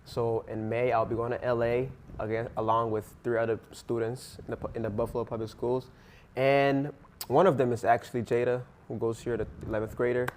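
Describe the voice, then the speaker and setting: A man's voice: medium pitch, level tone, brisk, darkish tone, a little hiss or hum. A teenage boy who speaks English as a second language, answering interview questions in an earnest, rambling way with fillers. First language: not English. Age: teens. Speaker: interviewee, student actor.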